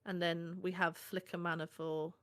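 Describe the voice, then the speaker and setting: A woman's voice: lowish voice, level tone, normal speed, fairly bright tone, smooth. A woman with a German accent, German native, co-streaming a card game in a chatty, agreeable way, often affirming her partner. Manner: chatty, agreeable, frequent "yeah, exactly" affirmations. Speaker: guest co-streamer. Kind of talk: casual gameplay chat.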